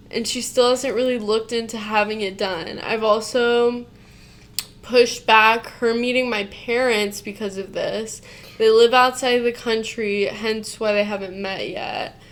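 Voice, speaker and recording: A woman's voice: on the high side, fairly even intonation, unhurried, a bit bright. A woman reading a post aloud and breaking off into blunt reactions that swear casually, sometimes stumbling and restarting mid-sentence. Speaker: co-host reacting to a Reddit post.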